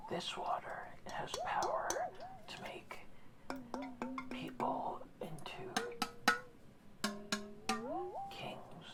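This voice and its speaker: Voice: high-pitched, distant mic, heavily acted. Someone whispering as a wise male orca guardian. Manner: slow soft whispering, questioning the listener. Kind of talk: ASMR roleplay.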